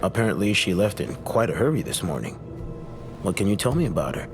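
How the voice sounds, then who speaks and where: Domineering detective, swinging pitch, music behind. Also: male voice, big pitch swings, brisk. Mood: cold, probing. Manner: condescending, insinuating, accusatory. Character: domineering, contemptuous male police detective interrogating a witness. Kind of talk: dramatic video game cutscene acting.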